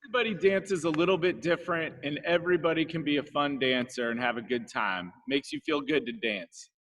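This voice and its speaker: Guy reading to kids on a video call, chatty, voice a bit high. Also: fairly quick, fairly bright tone. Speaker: guest reader at a children's read-aloud. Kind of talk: casual chat with children.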